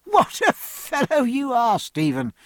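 A man's voice: on the high side, very animated, unhurried, creaky, dry recording, studio quality, full character voice. A man voicing a jovial, teasing British naval captain with bluff, exclamatory, old-fashioned speech.

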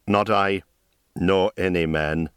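A man reading an audiobook, voicing a stern but gentle male mage in a low, quiet, measured voice. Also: steady tone, clean tone, no echo, clean studio sound. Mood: calm. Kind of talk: audiobook character voice.

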